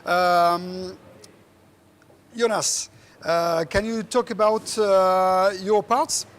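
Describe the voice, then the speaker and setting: A man with a high voice and a moderates a panel. He talks slowly and hesitantly, in plain words. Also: fairly even intonation, fairly bright tone, smooth.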